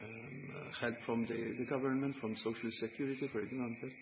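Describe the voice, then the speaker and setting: A man moderates a discussion, asking questions with hesitant fillers. The recording is dull and lo-fi, with clear background noise. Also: medium pitch, steady tone, brisk. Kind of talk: unscripted discussion.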